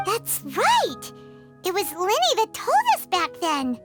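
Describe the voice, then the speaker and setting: A woman's voice: extremely high pitch, huge pitch swings, takes it slowly, over music, full character voice. A woman voicing a tiny, curious, naive fairy companion in a video game, with a childlike, wide-eyed way of talking. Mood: wondering. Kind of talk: scripted game dialogue.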